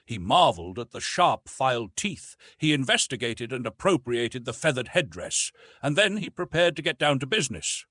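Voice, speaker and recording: A man narrates a classic adventure story with lively, swooping intonation. His voice sits at a medium pitch and has a husky grain. The delivery is measured and dramatic, recorded close and dry. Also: no echo. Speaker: audiobook narrator.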